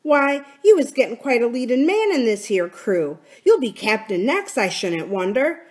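A woman plays a cunning old-salt pirate captain from a storybook. The talk is folksy and sardonic, full of scorn, and the high-set voice swings widely up and down. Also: very animated, normal speed. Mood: sarcastic. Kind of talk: dramatic storybook character reading.

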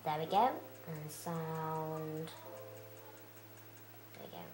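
A young girl, chatting casually with lots of fillers as she plays a video game, her voice croaky. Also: deep voice, completely flat delivery, very slow, reverberant, music in the background. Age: child. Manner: chatty, rambling, many fillers. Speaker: let's play host.

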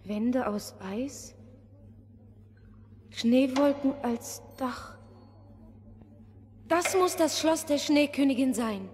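A woman's voice: sits high, drawn-out words, pauses often, darkish tone. A woman playing a brave, earnest little girl in a fairy-tale audio drama. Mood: awed, lost. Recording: long reverb, faint background noise.

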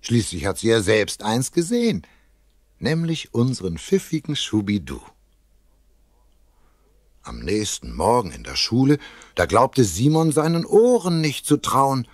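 A man's voice: mid-range voice, sing-song, measured pace, long pauses, no room sound. A genial male narrator of a children's audio tale. His intonation rises and falls vividly, and the recording is close and dry. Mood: genial, lively. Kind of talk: scripted narration for children's radio play.

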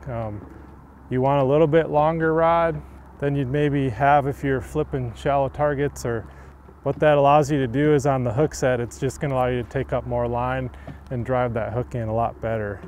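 A man in his thirties, a pro angler, explaining fishing technique in a relaxed, easygoing way from an open boat on a lake. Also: medium pitch, a little hiss or hum. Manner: relaxed, easygoing, many fillers. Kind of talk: on-the-water fishing instruction.

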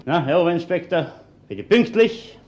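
Crime radio play: indignant, pompous Austrian gentleman suspect male actor, higher-pitched, very animated delivery. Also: moderate pace, noisy background, lightly acted. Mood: haughty, evasive. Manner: pompous, defensive. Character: haughty, indignant widowed male citizen under suspicion. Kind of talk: radio crime drama acting.

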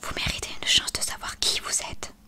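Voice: fairly quick, sharp tone. A storyteller whispering the lines of a weary, cryptic old headmaster in a storybook reading.

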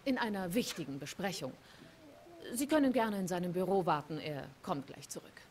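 Woman acting a lively-pitched, courteous military secretary. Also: moderate pace, lightly acted. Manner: formal, helpful. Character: courteous young female German military secretary. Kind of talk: cinematic war game acting.

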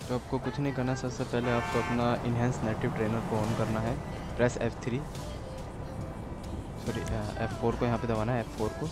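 A man's voice: mid-range voice, level tone, a little fast. A man with an Indian accent narrating a casual gaming tutorial step by step, mixing in English words and repeating himself. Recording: loud music behind the voice. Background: loud music.

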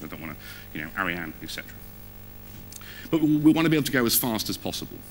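A man's voice: fairly low pitch, very animated, racing, soft background music, rough recording. A man giving a technical conference talk on stage in an informal, conversational way, often putting questions to the audience. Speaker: conference speaker. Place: on stage in a theatre or auditorium.

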